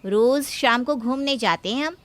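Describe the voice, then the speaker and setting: A woman voicing a haughty, quick-tempered and scornful young woman in a cartoon moral story. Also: on the high side, dry recording, lightly acted. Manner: sharp, scornful, demanding.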